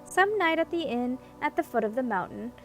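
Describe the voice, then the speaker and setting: A woman's voice: high-pitched, big pitch swings, moderate pace, soft background music. A woman voicing a well-meaning young prince in a storybook, earnest, polite and a little hesitant. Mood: worried, earnest.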